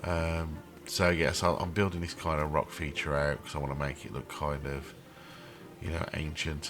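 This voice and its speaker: A man with a cold and a throaty voice, rambling with many ums as he comments casually over his game building. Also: extremely low pitch. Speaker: channel host building a theme park in a game.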